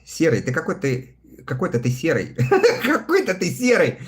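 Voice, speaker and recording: A man's voice: a little high, wildly swooping pitch, normal speed. A man in his thirties chatting casually on a livestream, reading viewer comments aloud and answering them between laughs. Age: 30s. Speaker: live streamer reading viewer chat.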